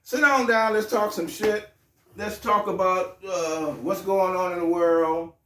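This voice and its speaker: Male host, swears and jokes, slightly higher voice, echoey room. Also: fairly even intonation, unhurried, sharp tone, distant mic, a little hiss or hum. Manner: loose, joking, profane, with frequent restarts. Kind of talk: casual show talk.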